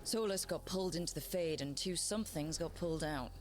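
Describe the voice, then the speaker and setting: A woman's voice: low-pitched, a little fast, darkish tone, a little hiss or hum, lightly acted. A woman playing a decisive young heroine who leads a party in a fantasy video game, firm and plain-spoken. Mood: firm, explaining.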